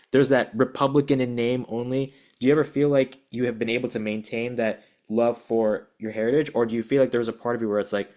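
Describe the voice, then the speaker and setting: A man's voice: medium pitch, darkish tone. A man hosting an interview, asking long, rambling questions full of restarts. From United States, Bangladeshi parents. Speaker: podcast host, interviewer. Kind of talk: casual interview conversation.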